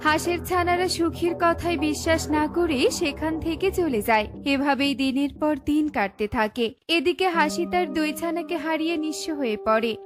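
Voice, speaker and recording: A woman giving scripted narration for a children's cartoon story. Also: high-pitched.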